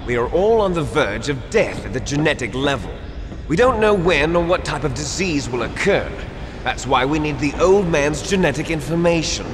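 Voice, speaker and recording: An actor playing a bitter, fatalistic video game villain, lecturing with menace. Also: male voice, a little high, lively intonation, normal speed, background noise, natural voice. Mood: grim lecturing. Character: bitter, fatalistic villain, cloned son of a soldier. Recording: long echoing hall reverb.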